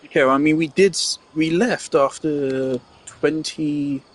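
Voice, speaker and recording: A man giving casual gameplay commentary, thinking out loud with fillers and the odd swear. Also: fairly high pitch, steady tone, measured pace. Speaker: gamer testing team setups.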